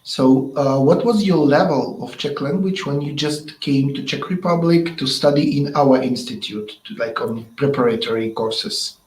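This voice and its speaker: A man who speaks Russian as his first language, interviewing a student in a casual, halting way as he searches for words. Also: medium pitch, a little mellow, echoey room, low-quality recording.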